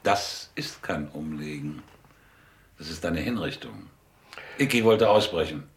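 An actor playing a middle-aged, elegant mobster in a German radio drama, businesslike and coolly threatening. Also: male voice, very deep voice, expressive, measured pace, exaggerated voice. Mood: coolly menacing.

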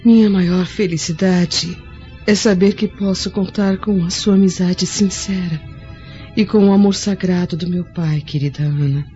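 A woman's voice: fairly low pitch, steady tone, a little slow, dark tone, natural voice. An actress playing a frail, blind, melancholy Roman noblewoman in a radio drama, wistful and earnest. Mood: affectionate. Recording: dull lo-fi recording, music behind.

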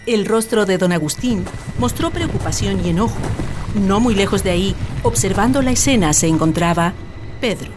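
A woman's voice: medium pitch, moderate pace, over music. A woman reading scripted, dramatic narration for a radio play.

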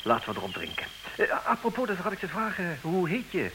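A man acting in a comedic radio play as a formal, businesslike suitor, stubborn and dryly exasperated, speaking with measured, theatrical emphasis. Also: sits high, wildly swooping pitch. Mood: insistent, businesslike. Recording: noisy, hissy old radio recording.

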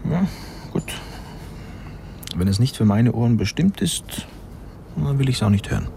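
A man's voice: medium pitch, animated, a little slow, vocal fry, warm tone, slight background noise. A man acting in a radio play as a pompous, eccentric linguist, boastful and mocking, with a grandiose air. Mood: thoughtful. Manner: pompous, grandiose, mock-indignant.

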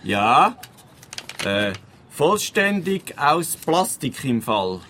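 A literal-minded, eager male police intern in a radio comedy, with a Swiss accent. He plods along haltingly, repeating words in an animated sing-song, with ambience behind. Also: on the high side, slight background noise, full character voice. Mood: plodding. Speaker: actor in a radio play.

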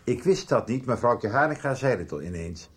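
A man's voice: mid-range voice, animated, moderate pace, close to an everyday voice. A man playing an earnest, reproachful brother in a Dutch radio soap. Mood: earnest, confronting. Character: earnest, reproachful brother confronting his stubborn sibling. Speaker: radio drama actor.

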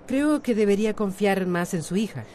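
A woman's voice: mid-range voice, animated, normal speed, darkish tone, a little hiss or hum, natural voice. A woman with a Costa Rican accent playing an independent, polite but easily rattled shop owner in a radio soap. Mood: firm. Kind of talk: radio soap opera acting.

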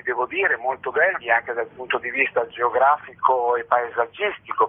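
Through a dull, band-limited line comes a man with a husky, unpolished voice. He explains things in interview style, as an arts director would. He often doubles back to repeat or rephrase a word before going on. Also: medium pitch. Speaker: festival artistic director, interviewee. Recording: dull, narrow-band radio or phone-like sound.

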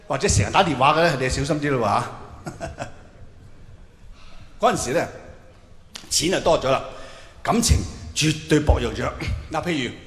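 A man with a Hong Kong Cantonese accent performing an animated, colloquial stand-up monologue to a live audience, acting out bits of dialogue. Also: on the high side. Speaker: stand-up comedian. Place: large indoor venue with long reverb. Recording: echoey hall, live stage, faint noise.

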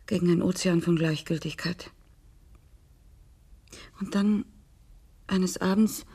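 A slow, weary female conspirator. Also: on the low side, dark tone. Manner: brooding, halting short phrases. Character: weary, disillusioned female conspirator recounting her past. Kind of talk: intimate radio drama dialogue.

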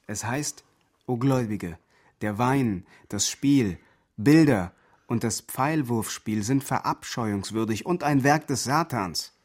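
Solemn, devout young refugee. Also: male voice, mid-range voice. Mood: earnest. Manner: solemn, measured, formal wording. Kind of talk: German radio crime drama acting.

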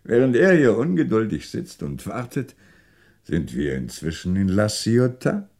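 Shrewd, animated police commissioner. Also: male voice, fairly low pitch, measured pace. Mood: wry, sly. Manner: wry, confident, reassuring. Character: shrewd, self-assured male French police commissioner, slyly manipulative. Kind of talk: 1960s German radio crime drama acting.

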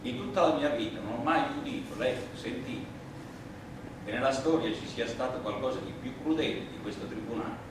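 Worker on trial, played by an actor, very echoey. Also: male voice, mid-range voice, close to an everyday voice. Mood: humble, grave. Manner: simple, emphatic, deliberate working-class oratory. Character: plain-spoken, indignant immigrant worker anarchist on trial. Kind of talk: theatrical courtroom monologue. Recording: echoey, distant stage recording, clear noise.

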